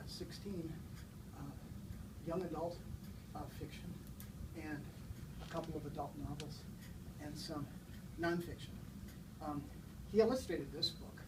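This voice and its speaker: A man in his sixties speaking to a live group as a guest storybook reader, in an unhurried, halting way. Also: a little high. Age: 60s. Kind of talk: informal live introduction and children's storybook read-aloud. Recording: distant mic, noisy room ambience.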